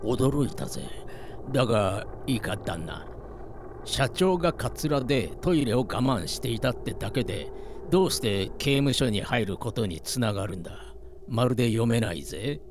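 A man playing a gruff, impatient, hard-boiled tough guy in a comic radio drama. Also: mid-range voice, expressive, moderate pace, noisy background, natural voice. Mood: incredulous. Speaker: voice actor.

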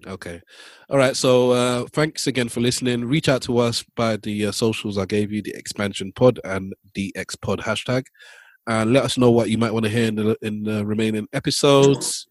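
A man's voice: on the low side, fairly even intonation, normal speed, no echo. Dry-sounding joking male podcast host. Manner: loose, joking, repeats himself. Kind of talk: casual chat.

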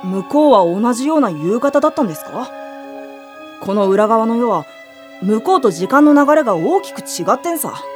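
A woman playing a brash, androgynous young youkai child with rough, slangy speech in an audio drama. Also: fairly high pitch, big pitch swings, normal speed, darkish tone, faint music behind. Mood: explaining.

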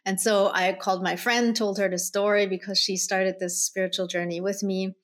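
Woman, middle-aged, from Germany, medium pitch, conversational storyteller, animated retelling, podcast guest. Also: moderate pace. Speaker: guest, spirit guide channeler.

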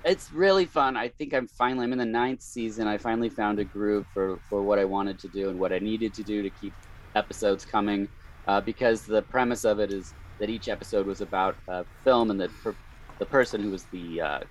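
A man with a slightly strained, hoarse voice, telling wry anecdotes as a podcast guest. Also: on the low side, a little fast, a little hiss or hum, poor audio. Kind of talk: casual chat, anecdotes.

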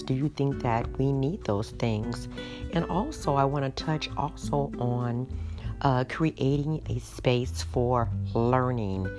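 A middle-aged woman hosting a podcast, hoarse tonight, chatting in an unhurried way with frequent ums. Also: very deep voice. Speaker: podcast host. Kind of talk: casual solo podcast talk. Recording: music playing underneath.

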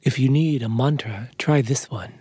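A man voicing a calm, solemn male cat monk in a children's story, quiet, measured and soothing. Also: mid-range voice, breathy edge, no echo, studio quality, close to an everyday voice.

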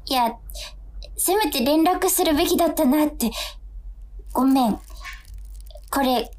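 A woman's voice: sits high, unhurried, a little mellow. A woman playing an awkward, apologetic young woman, hesitant and earnest, in a naturalistic comic radio drama.

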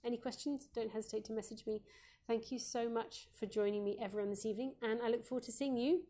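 A woman with a warm, mellow, slightly croaky voice hosts a live parenting Q&A. She speaks gently and reassuringly as a Montessori educator, often pausing on "um". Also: on the high side, steady tone. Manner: gentle, reassuring, with frequent ums.

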